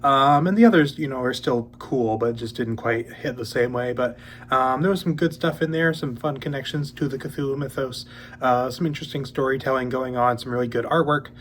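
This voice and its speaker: A young man hosting a casual book channel, talking in a relaxed, rambling way with many ums, a little sniffly as he gets over a cold. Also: medium pitch, a little fast, a little hiss or hum. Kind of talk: casual monthly reading update.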